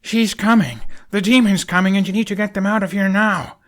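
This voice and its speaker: A man's voice: high-pitched, fairly quick, fairly bright tone, voice pushed up. A man voicing an earnest young male dragon rider who takes command with urgency in a fantasy audiobook. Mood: urgent, panicked. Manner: urgent, insistent.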